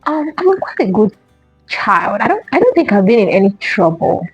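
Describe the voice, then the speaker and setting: A woman's voice: medium pitch, sing-song, normal speed, breathy edge, no room sound, soft background music. A young woman vlogger chatting casually to camera, answering questions and repeating herself with lots of fillers.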